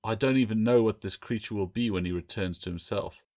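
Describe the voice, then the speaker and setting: Man playing a grim, low-voiced pirate captain. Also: a little mellow, natural voice. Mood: grave, wary. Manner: measured, ominous, firm. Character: grim, wary, tall male pirate captain.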